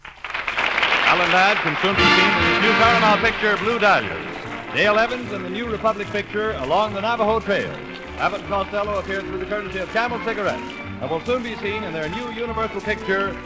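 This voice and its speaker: A man's voice: high-pitched, fairly quick, over loud music, a loud crowd, poor audio. A male announcer reading scripted commercial copy in a folksy, salesmanlike 1940s radio style. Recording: vintage 1940s radio broadcast.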